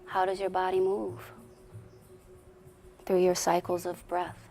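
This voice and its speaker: A woman leading a guided meditation in a soothing, deliberate way with long pauses, her soft voice often sinking toward a whisper. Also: lowish voice, completely flat delivery, gravelly. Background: music.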